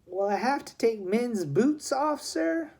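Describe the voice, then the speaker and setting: Plucky young runaway for a storybook, played by an adult man: earnest, polite, a little anxious, mellow tone. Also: extremely high pitch, expressive, takes it slowly, vocal fry, voice pushed up, heavily acted. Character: plucky, anxious runaway city boy wanting to enlist.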